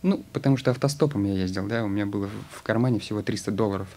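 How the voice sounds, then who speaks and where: A man's voice: lowish voice, racing, mellow. A man in his thirties, an actor interviewed in a studio, talking at length with many hesitations. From Russia. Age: 30s. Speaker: guest actor. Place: studio with logo backdrop. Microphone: studio mic on stand.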